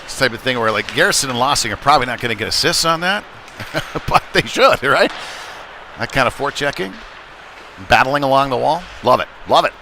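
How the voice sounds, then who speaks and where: A man's voice: medium pitch, lively intonation, fairly quick, pushed and bright, a little hiss or hum. A man giving live play-by-play commentary of a youth ice hockey game, with conversational, folksy asides.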